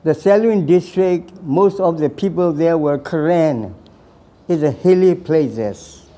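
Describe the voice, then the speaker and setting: A man in his nineties from Burma, slowly telling his life story with hesitant pauses and repeated phrases. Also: fairly high pitch, warm tone. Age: 90s. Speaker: veteran recounting his life. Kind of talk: slow personal storytelling.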